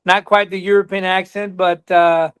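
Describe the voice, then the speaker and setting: A man's voice: high voice, flat delivery, moderate pace, a bit bright, smooth, dry recording. A man in his forties from Colombia, raised in Texas, with a slight Texas accent, a college soccer coach telling his story earnestly as a podcast guest. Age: 40s. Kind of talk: podcast interview.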